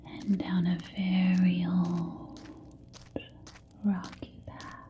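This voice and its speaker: A woman telling a story in a soft ASMR whisper, close to the microphone from ear to ear, leaving long pauses between short phrases. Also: fairly low pitch, monotone, lo-fi sound, slight background noise. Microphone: close binaural microphone, ear to ear.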